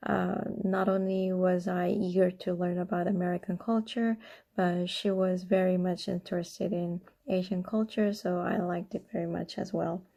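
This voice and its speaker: Casting note: Korean-born young woman, low mellow gravelly voice, measured testimonial. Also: little pitch movement. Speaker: mentee.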